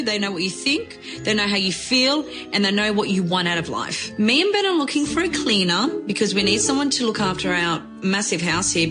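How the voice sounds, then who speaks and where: A woman speaking in a reality TV confessional clip, self-assured and showy. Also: medium pitch. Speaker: reality TV personality. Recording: TV clip with clear music behind. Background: music.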